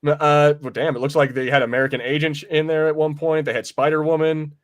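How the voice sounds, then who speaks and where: A man co-hosting a podcast, chatting casually about pop culture in a rambling, halting way and often repeating phrases. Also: fairly high pitch, level tone. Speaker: podcast co-host. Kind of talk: casual film and comics discussion.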